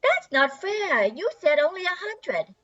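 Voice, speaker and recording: A woman's voice: very high-pitched, very animated, unhurried, a bit bright, heavily acted. A woman playing a weary, whiny eight-year-old little sister in an amateur radio play. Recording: dull, muffled lo-fi sound.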